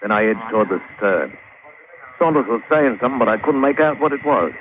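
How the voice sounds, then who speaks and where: A man's voice: mid-range voice, lively intonation, brisk, a little hiss or hum, natural voice. An actor playing a weathered sailor telling his sea tale in colloquial dialect, in old radio drama style. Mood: brooding, nostalgic. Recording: tinny old radio recording with music.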